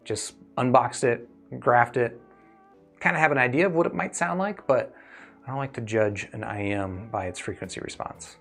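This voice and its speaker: A man with a medium-pitched voice hosts a casual live review stream. He talks conversationally and thinks aloud while answering questions from viewers. Music plays behind his voice. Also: moderate pace, gravelly, a bit bright. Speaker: host of a livestream reviewing earphones.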